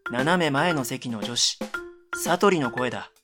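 Man as middle-school boy, medium pitch, dry wit, exasperated, matter-of-fact, radio comedy narrator, background music. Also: animated, unhurried, lightly acted. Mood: dry narration. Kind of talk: first-person narration and comedic radio drama dialogue.

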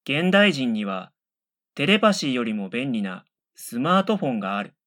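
A man's voice: medium pitch, very animated, normal speed. A man playing a middle-school boy, the dry, exasperated straight man of a radio comedy, giving retorts and wry narration. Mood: wry narrating. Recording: loud background music.

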